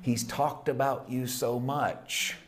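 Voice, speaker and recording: A man preaching a sermon, building with repeated phrases and dropping to hushed, intimate passages. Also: mid-range voice, steady tone, takes it slowly. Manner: emphatic, repetitive preaching cadence, swings from loud to hushed. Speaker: pastor.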